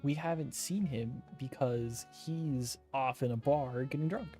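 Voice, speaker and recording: A woman with a very low, mellow voice comments casually on a game she is playing. She makes deadpan jokes, with faint music behind her. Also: unhurried. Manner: deadpan joking. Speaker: game streamer.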